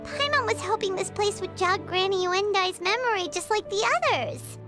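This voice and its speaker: A woman voicing a tiny, excitable female floating companion who talks about herself by name in a bubbly way. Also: very high-pitched, normal speed, over music. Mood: encouraging.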